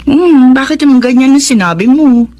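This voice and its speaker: An actress playing a sassy, loyal young best friend in a Tagalog radio drama, breezy and teasing, with a Filipino accent. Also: female voice, sits high, expressive, moderate pace, dark tone. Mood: admiring.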